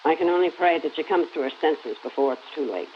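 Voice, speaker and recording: A woman's voice: sits low, fast talker, natural voice. An actress playing a sanctimonious middle-aged woman venomously testifying in an oratorical 1950s newsreel style, in an audio drama. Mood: righteous contempt. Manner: oratorical, denouncing with relish. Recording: crackly, dull old newsreel sound.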